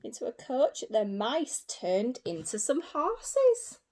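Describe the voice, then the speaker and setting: A woman reading a story aloud for young children as a teacher, in an animated way with simple repeated phrases and asides to her listeners. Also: on the high side, slow pace. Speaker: early years teacher.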